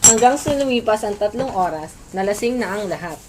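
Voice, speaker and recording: A woman's voice: mid-range voice, animated, fairly quick, noisy background. A woman reading scripted narration for a radio drama. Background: music.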